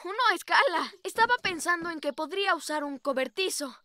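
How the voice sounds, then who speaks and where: A woman's voice: extremely high pitch, lively intonation, brisk, sharp tone, a little hiss or hum, heavily acted. A woman voicing a cheerful young boy turtle in a cartoon dub. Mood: eager, excited.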